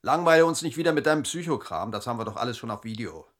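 A man's voice: mid-range voice, animated, very fast, close to an everyday voice. A man in a radio drama playing a bullying, impatient interrogator who barks demands. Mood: furious, impatient. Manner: barking, demanding, sharp.

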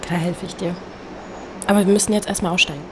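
A young actress playing a level-headed, wry best friend in a naturalistic audio drama. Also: female voice, on the low side, fairly even intonation, fast, natural voice. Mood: matter-of-fact. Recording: noisy subway ambience behind dialogue.

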